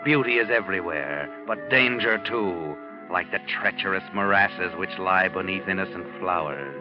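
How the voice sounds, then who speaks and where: An actor playing a sinister, knowing storyteller, narrating theatrically and ominously in 1940s radio style. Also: male voice, sits low, normal speed, natural voice. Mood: sardonic, foreboding. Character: sinister, knowing male night-walking storyteller. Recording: dull old radio recording with music. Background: loud dramatic music.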